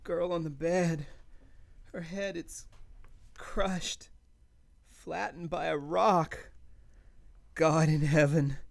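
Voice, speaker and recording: An actor playing an indignant, horror-struck lodging-house proprietor in a radio play, halting and melodramatic. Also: male voice, fairly high pitch, fairly even intonation, gravelly, exaggerated voice. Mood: horrified.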